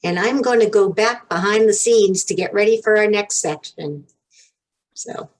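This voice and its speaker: A woman's voice: medium pitch, normal speed, rough recording. A woman helping run an online event, chatting casually with a group over a video call. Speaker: play team member and event organiser. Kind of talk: casual chat.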